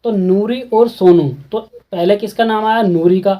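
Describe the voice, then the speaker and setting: Mellow-voiced male Hindi math tutor. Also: high voice, fairly even intonation, normal speed. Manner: repetitive, keeps asking students questions. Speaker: maths teacher. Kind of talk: explaining a textbook math exercise.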